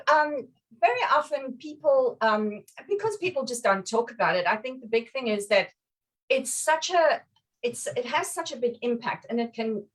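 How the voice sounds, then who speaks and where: A middle-aged woman with a South African accent touched by Australian, giving an informal explanatory talk as a guest. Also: on the high side. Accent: South African with a slight Australian influence. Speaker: guest speaker. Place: online live video call. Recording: distant mic on online call.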